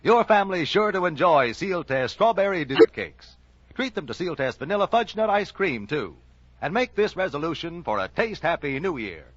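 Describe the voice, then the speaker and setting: A man's voice: fairly high pitch, sing-song, normal speed, slight background noise. An American man reading scripted sponsor copy as an announcer on a 1940s radio broadcast. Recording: dull vintage 1940s radio recording, faint music.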